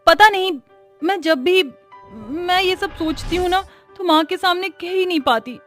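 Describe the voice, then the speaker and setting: A woman's voice: extremely high pitch, unhurried, a bit bright. A woman voicing an eager, affectionate young daughter in a cartoon story, with a gushing manner. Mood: shy, hopeful. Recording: music behind the voice.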